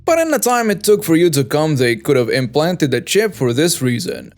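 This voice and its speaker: A man doing a shrill entitled mother. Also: big pitch swings, normal speed, soft background music. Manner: insistent, demanding.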